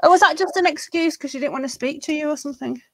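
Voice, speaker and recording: A woman co-hosting a live stream, chatting in a relaxed way about makeup. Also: sits high, fast, no echo. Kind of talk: casual chat about makeup.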